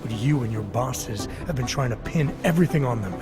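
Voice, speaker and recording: An actor playing a stoic, defiant special agent in a video game, speaking in a terse, dry, controlled manner. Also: male voice, medium pitch, animated, a little fast, lightly acted. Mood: accusing. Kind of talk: cinematic video game acting. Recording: game soundtrack music behind.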